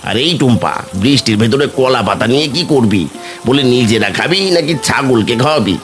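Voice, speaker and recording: A man's voice: medium pitch, animated, normal speed, over music, lightly acted. A man voicing a suspicious, greedy village uncle in a children's fairy tale cartoon, exclaiming and repeating his words. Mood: incredulous.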